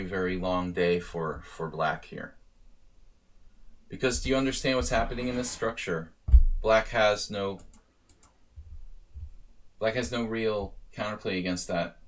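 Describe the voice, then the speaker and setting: A deep-voiced man coaching over a live chess stream. He thinks aloud in a loose, casual way, trailing off and restarting, then pushing his voice up when a point matters. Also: a bit bright. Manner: thinking aloud, casual, with rising bursts of emphasis.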